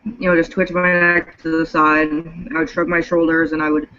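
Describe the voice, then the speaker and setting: A woman's voice: low voice, level tone, normal speed, bright, forceful tone. A woman in her twenties, a guest in a casual group chat, speaking hesitantly with frequent ums and restarts. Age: 20s. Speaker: panel guest. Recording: dull lo-fi sound.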